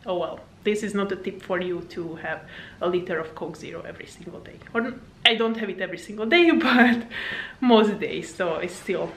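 A woman's voice: mid-range voice, brisk, a little hiss or hum. Chatty Hungarian-accented woman vlogging at home. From Slovakia. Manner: rambling, chatty, frequent laughs and fillers. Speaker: fitness vlogger and coach. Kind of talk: casual chat to camera. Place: home living room, indoors. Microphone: camera on table at arm's length.

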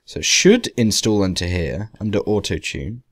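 A man giving an informal software tutorial in a conversational way, with wry, emphatic asides. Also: low voice, expressive, moderate pace. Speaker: tutorial presenter. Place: voice-over to a screen capture.

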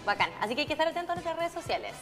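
A young Chilean woman co-hosts a morning show with a bubbly, chatty manner. Her voice is high and quick. Music plays behind her in a reverberant studio. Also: very animated. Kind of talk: lively studio chat. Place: TV studio kitchen set.

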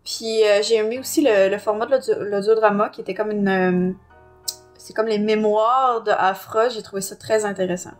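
A woman's voice: mid-range voice, steady tone, moderate pace. A woman with a Quebec French accent co-hosting a review podcast. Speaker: podcast co-host. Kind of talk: casual review discussion, partly reading aloud. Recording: music under the voice.

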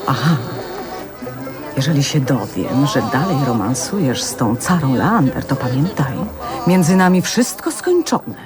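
An actress playing a glamorous, flirtatious 1940s film diva in a radio drama, teasing and coquettish. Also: female voice, a bass voice, big pitch swings, measured pace, music in the background, heavily acted. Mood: coy.

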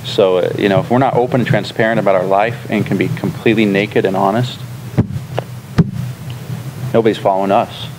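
A man in his thirties, a pastor, leading a relaxed classroom discussion with lots of fillers, recorded in a church classroom by a room camera. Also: on the low side, fairly quick, long pauses, background noise. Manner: relaxed, chatty, frequent fillers and laughs. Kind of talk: casual teaching discussion.

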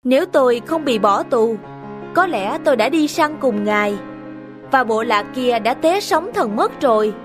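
A loyal young tribesman, calm and earnest. The high voice is deferential. It is dubbed for a folk-tale cartoon over clear music. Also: measured pace. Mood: serene. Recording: studio voice over background music.

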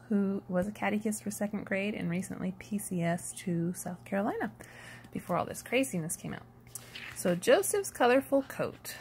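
A woman reading a children's picture book aloud in an expressive storytelling manner, with a slightly husky voice. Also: mid-range voice, slight background noise. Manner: animated, storytelling delivery. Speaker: storybook reader.

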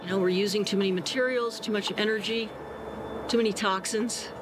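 A woman in her sixties, interviewed outdoors in a forest, explaining ideas in a thoughtful, measured way with shifting pace. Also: medium pitch, steady tone, rough recording. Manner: thoughtful, explanatory, varied pacing. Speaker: co-founder of a nature-inspired design institute. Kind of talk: documentary interview. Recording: interview under music bed. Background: soft music.

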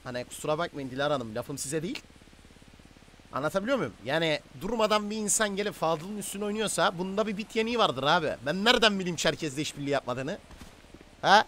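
A Turkish man with a fairly high voice speaks as a game streamer leading his crew in roleplay. He is brash, slangy and combative, with faint game and vehicle noise behind him. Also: expressive, quick pace, sharp tone. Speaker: streamer and gang-leader player in online roleplay game. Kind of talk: live gaming commentary and in-game roleplay chat.

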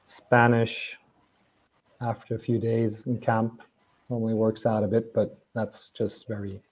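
A man from Switzerland, a native German speaker, explaining things carefully and haltingly as a guest on a video call. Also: lowish voice, flat delivery, a little mellow, rough recording. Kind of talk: casual video call chat.

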